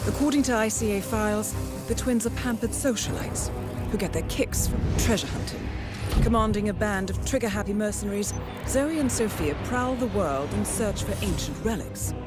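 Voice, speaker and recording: An actress playing a cool, composed intelligence handler in a video game cutscene, speaking in a poised, dry, measured way. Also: female voice, medium pitch, over loud music, lightly acted. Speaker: mission handler. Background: orchestral score.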